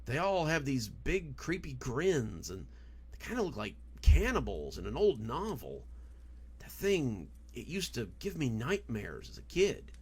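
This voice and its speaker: A man's voice: mid-range voice, huge pitch swings, unhurried, husky, voice pushed up, exaggerated voice. A man voicing a blunt, uneasy man who questions his companions in an audio horror story. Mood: incredulous, uneasy. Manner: questioning, blundering.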